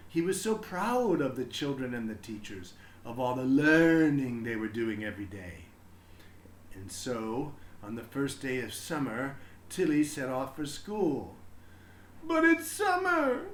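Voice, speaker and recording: A man narrates a children's picture book in an expressive, deliberate style. His pace is slow and his intonation moves widely, and the room adds a clear echo. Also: medium pitch, slight background noise. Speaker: storybook reader.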